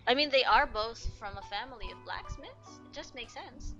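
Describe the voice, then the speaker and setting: A woman's voice: on the high side, lively intonation, moderate pace. A young woman giving casual, fan-style reaction commentary on a game, recorded on a slightly dull lo-fi mic. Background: faint game music.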